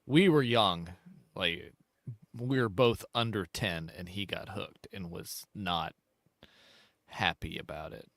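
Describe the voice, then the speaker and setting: A man's voice: low voice, slow, vocal fry, a bit bright, no room sound, studio quality. A man co-hosting a casual hunting podcast, telling rambling jokey stories. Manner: joking, rambling storyteller. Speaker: podcast co-host. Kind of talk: casual chat.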